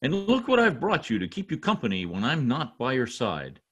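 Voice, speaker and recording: A man's voice: mid-range voice, huge pitch swings, normal speed, fairly bright tone, slightly rough, exaggerated voice. A middle-aged man playing an anxious, pompous, theatrical husband in old-time radio comedy style. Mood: tender. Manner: dramatic, exclaiming. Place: home office, over video call. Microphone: video call computer mic.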